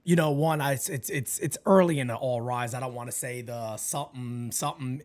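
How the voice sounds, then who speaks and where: A man co-hosting a casual sports chat, animated and quick to break into loud, excited outbursts. His voice sits at an ordinary pitch. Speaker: podcast co-host.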